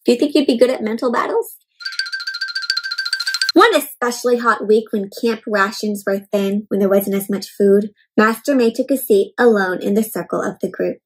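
A young adult woman reading a picture book aloud to children in an expressive, teacherly way, pausing to explain and ask questions. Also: medium pitch, husky, faint music behind, rough recording. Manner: expressive, emphatic, teacherly, slowing on key words. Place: indoor room at home.

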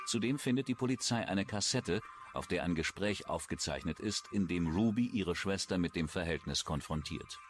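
A man's voice: deep voice, lively intonation, moderate pace, soft background music. A man reading scripted true-crime documentary narration.

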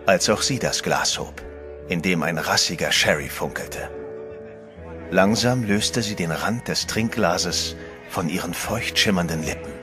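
Calm adventurer hero, first-person narration, low voice, music underneath, horror radio play. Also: male voice. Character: calm adventurer hero telling his own story.

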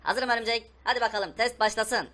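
Eager, loyal talking race car, played by a man, fast and bright, for children's game play-acting. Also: sits high, voice pushed up.

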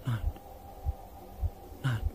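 A man playing a shaken, earnest expedition pilot in a science fiction radio play, with weighty, hushed dramatic delivery. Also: very slow, a little hiss or hum. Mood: desperate.

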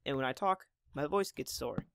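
A teenage boy, hoarse from talking, with a voice that cracks now and then and a middling pitch. He rambles casually and jokes at his own expense, recorded on a desktop mic at home. Faint hiss and mouse clicks sit under his voice. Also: expressive, moderate pace, fairly bright tone. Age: teens. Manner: rambling, chatty, self-deprecating. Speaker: Minecraft gameplay channel host. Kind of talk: casual gameplay commentary.

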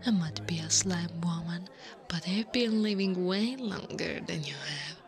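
Woman acting slow-talking, teasing older slime woman. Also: fairly low pitch, close to an everyday voice. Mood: flirty, coaxing. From Italy. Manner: teasing, breathy half-whispers, frequent soft laughs. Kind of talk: ASMR roleplay. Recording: music behind the voice.

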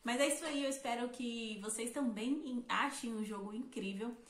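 A woman's voice: fairly high pitch, normal speed. A young woman with a Brazilian accent, a fan dub voice actress giving an upbeat, gushing testimonial to camera in a small room. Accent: Brazilian Portuguese. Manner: upbeat, gushing, lots of "incrível". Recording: roomy echo.

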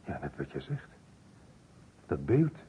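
A man's voice: a bass voice, big pitch swings, slow pace, breathy edge, heavily acted. An actor playing an observant male journalist in a Dutch radio play. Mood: uneasy, observant. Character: male journalist assisting an investigation, observant, uneasy. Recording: dull old radio recording, faint noise.

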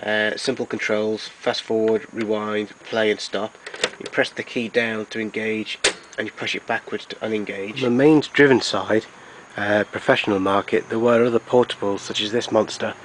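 Low-pitched man demonstrating gadgets, noisy recording. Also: steady tone, normal speed, hardly any pauses. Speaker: collector presenting his tape recorders. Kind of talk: informal product demonstration narration.

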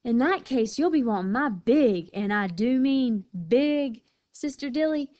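A woman's voice: high voice, animated, a little slow, dark tone, no room sound, muffled recording, voice pushed up. A woman voicing a vain, fussy female armadillo in a storybook, with prim, emphatic sass. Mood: sassy, persuasive.

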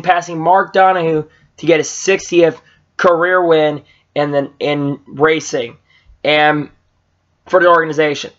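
A young man casually recapping a race on a webcam in a small room, rambling with fillers and restarts, his voice a bit strained. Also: high voice. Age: young adult. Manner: rambling, lots of fillers and restarts, gets excited. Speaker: vlogger reviewing races. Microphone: webcam at low angle. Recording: slightly dull lo-fi webcam audio.

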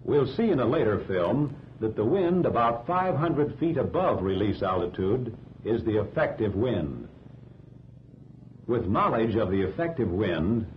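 An American man reading scripted instructional narration for a 1940s training film in a measured, didactic way. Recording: dull 1940s film soundtrack, rumbling noise.

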